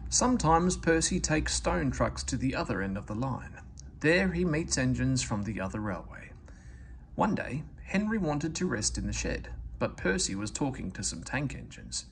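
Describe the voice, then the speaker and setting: A man's voice: medium pitch, big pitch swings, normal speed, vocal fry, a little hiss or hum. A man reading a children's story aloud as scripted narration. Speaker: storybook reader.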